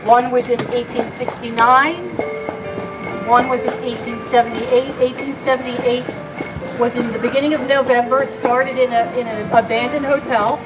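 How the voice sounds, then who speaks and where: A woman giving guided tour commentary from a horse carriage outdoors, with a loud voice and a chatty, joking manner. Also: on the high side, level tone, normal speed, rough recording. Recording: background music over the voice.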